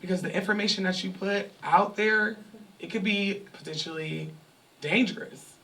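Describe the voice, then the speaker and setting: A woman in her thirties from California, talking candidly to a live event crowd with animated, laughing bursts. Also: medium pitch, moderate pace, distant mic, poor audio. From Sacramento, California. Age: 30s. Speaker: online creator speaking at fan event. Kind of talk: candid talk to a live audience. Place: event venue with audience.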